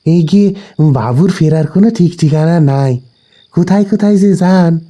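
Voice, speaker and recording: A man's voice: a little high, moderate pace, mellow, voice pushed up, close to an everyday voice. A man voicing a gaunt, eerie male servant in an audio horror story, with offhand rustic phrasing. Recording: voice over background music.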